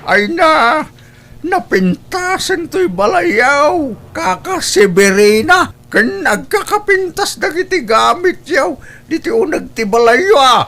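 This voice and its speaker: A woman's voice: high-pitched, sing-song, slow, fairly bright tone, soft background music, full character voice. A woman with an Ilocano accent playing a nosy, gossipy older relative in an audio drama, exclaiming and disapproving. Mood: admiring, nosy.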